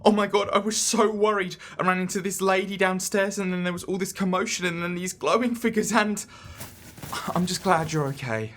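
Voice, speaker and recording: A man's voice: sits high, fairly quick, a bit bright, a little hiss or hum. A man playing an anxious young man who is out of his depth in an audio drama, earnest and rambling. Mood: relieved, flustered.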